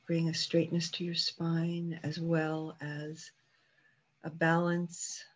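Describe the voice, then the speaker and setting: A woman meditation teacher leading a guided meditation calmly and unhurriedly, leaving long pauses between short phrases. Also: low-pitched, lo-fi sound.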